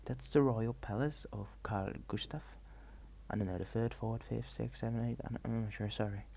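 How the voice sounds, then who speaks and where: Soft-spoken man with a gravelly voice. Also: fairly low pitch, fairly even intonation, moderate pace. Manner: rambling, hesitant, mixes soft talk with whispers. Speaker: ASMR vlogger. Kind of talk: soft-spoken travel vlog narration. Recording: faint ambient noise.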